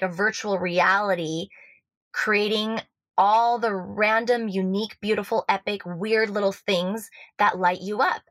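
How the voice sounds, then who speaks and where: A woman's voice: medium pitch, a bit bright, no echo. A woman from Switzerland with an American accent, a love coach guest talking earnestly in a casual podcast chat, full of fillers and restarts.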